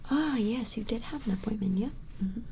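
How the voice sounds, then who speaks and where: A woman voicing a flustered, smitten vampire in an ASMR roleplay, whispering hesitantly and apologetically in a breathy voice. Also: fairly high pitch, slight background noise, close to an everyday voice. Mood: flustered, polite. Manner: hesitant, apologetic, halting, softly attentive. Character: flustered, smitten female vampire measuring a strange creature. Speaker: ASMR performer.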